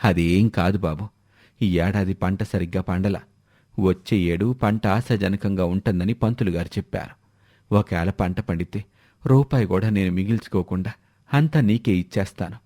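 Old man figure, voiced by a male narrator, humble, pleading, low-pitched, soft. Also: moderate pace. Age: older. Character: old poor indebted father-in-law, meek.

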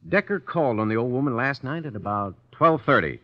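An actor playing a brisk, matter-of-fact homicide lieutenant in a 1950s radio drama. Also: male voice, mid-range voice, lively intonation, natural voice. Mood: explaining. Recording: dull, lo-fi 1950s radio recording.

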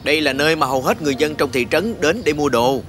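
A man playing a kind, patient human guide in dubbed fantasy film dialogue, explaining things gently. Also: a little high, fast talker, over music, natural voice. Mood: kind, helpful.

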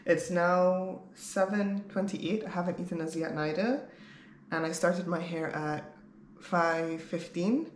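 Casting: woman, 30s, lowish voice, candid vlog chat, rambling delivery, roomy home recording with background noise. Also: slow. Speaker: vlogger. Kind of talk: casual chat to camera. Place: bare-walled room at home, indoors.

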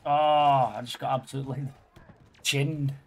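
A man's voice: mid-range voice, measured pace. A man, chatting and laughing while streaming a shooter game. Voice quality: raspy. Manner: excitable, laughing, swearing. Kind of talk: casual gaming banter.

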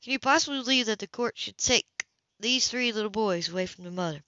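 A woman's voice: fairly high pitch, quick pace, sharp tone, no room sound, highs cut off. A woman reading a romance novel aloud as an amateur narrator, stumbling and restarting now and then. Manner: reads with frequent slips and restarts. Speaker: audiobook reader.

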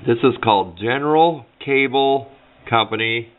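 A man's voice: medium pitch, unhurried. A man giving a casual, unscripted how-to at a workbench, talking in a plain, rambling, folksy way. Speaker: hobbyist demonstrating a homemade build. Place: indoors at a workbench. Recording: muffled, narrow phone-like camera audio.

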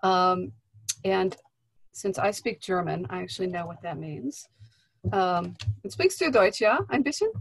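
A woman's voice: on the low side, unhurried, vocal fry, slightly rough. A woman professor hosting a remote class, chatty and friendly, with lots of hesitant fillers. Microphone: video call.

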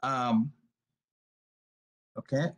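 A man presenting on a webinar over a video call, in a brisk, conversational way. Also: medium pitch, fairly even intonation, slightly rough. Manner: brisk, conversational, frequent "you gotta". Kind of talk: business webinar talk.

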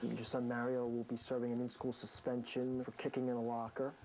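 An American man in his thirties, a high school principal, speaking in a stern, authoritative way. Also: completely flat delivery, fairly quick, a little mellow. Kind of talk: reality show narration and firm talk with a student. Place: school offices and locker room. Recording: noisy reality TV location sound.

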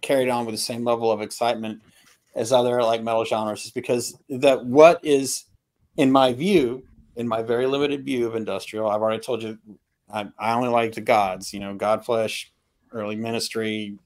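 A middle-aged man in a casual music discussion on a video call, halting and opinionated, often clearing his throat. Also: fairly low pitch, little pitch movement, a little mellow. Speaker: album review panelist. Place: home room with records, indoors.

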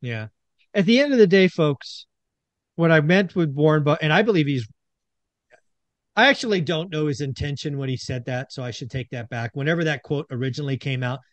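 Middle-aged male host, rallying and earnest, slightly high voice, dry sound. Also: a little fast, no echo. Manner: earnest, rallying, addresses viewers as folks. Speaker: podcast host. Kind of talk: casual investing talk. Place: home studio with shelves, indoors.

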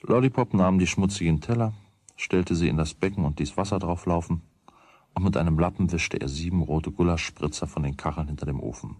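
A man narrating a children's radio play as a wry, deliberate storyteller with a husky voice. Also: low voice, darkish tone, no room sound. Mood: wry, measured. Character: wry storyteller of a boy's everyday troubles.

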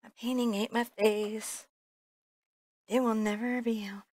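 A woman's voice: on the high side, flat delivery, a little slow, darkish tone, no room sound. A woman teaching painting in a casual, chatty way, with playful, self-deprecating banter as she works in a studio. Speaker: painting teacher, show host. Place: indoor art studio.

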